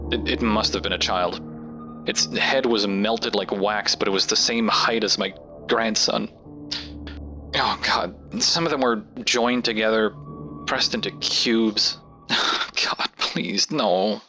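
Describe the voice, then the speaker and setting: A man's voice: lowish voice, pushed and bright. An actor playing an older male explorer, shaken and terrified, who stammers with dread as he reports. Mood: horrified, grieving. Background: music.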